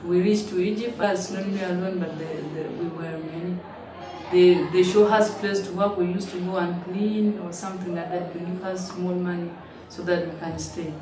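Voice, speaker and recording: A woman, telling her story in halting, simple English with frequent restarts. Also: on the low side, fairly even intonation, crowd noise. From South Sudan. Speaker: interviewee, refugee. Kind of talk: personal testimony in an interview. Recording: roomy, distant mic, noisy ambience.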